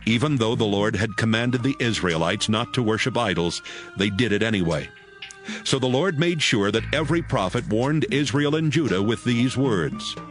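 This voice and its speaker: Male narrator, lowish pitch, varied expressive intonation, scripted audio Bible reading, music bed. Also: moderate pace. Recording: music under the voice.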